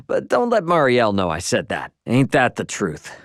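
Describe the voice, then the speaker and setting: A man's voice: medium pitch, huge pitch swings, moderate pace, dry recording. A young male starship pilot for a video game, easygoing and a little cocky. His mid-range voice moves around with lots of expression, and he talks in loose, slangy phrases. The sound is dry and close. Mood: casual, thoughtful. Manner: breezy, slangy, informal delivery.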